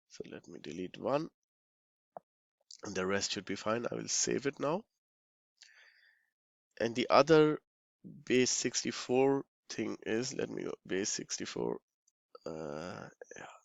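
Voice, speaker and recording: A man giving an informal software walkthrough, halting and thinking aloud, often murmuring to himself as he works. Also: lowish voice, expressive, creaky, no echo. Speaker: software tutorial instructor.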